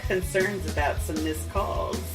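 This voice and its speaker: A woman's voice: on the low side, measured pace. A woman hosting a podcast, chatting casually and effusively with her guest. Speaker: podcast host, interviewer. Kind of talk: casual interview chat. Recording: slightly distant mic, faint music.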